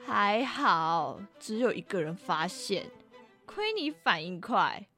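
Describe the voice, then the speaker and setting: A woman playing a stern, nagging talent manager in a radio drama, scolding with drawn-out emphasis. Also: medium pitch, sing-song, pushed and bright, faint music behind, exaggerated voice. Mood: scolding, warning.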